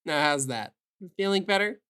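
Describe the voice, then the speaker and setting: A woman voicing a gentle, curious young male witch with a soft, casual way of talking in an audio drama. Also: sits low, expressive, moderate pace, over music. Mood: gentle, reassuring. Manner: soft-spoken, kindly, casual. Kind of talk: audio drama character acting.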